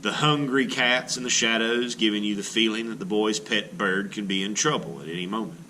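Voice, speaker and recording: A man's voice: on the low side, a little fast, sharp tone, a little hiss or hum, poor audio. A man, an elementary art teacher, reads a picture book aloud to children with expression and breaks off now and then to add his own teacherly asides.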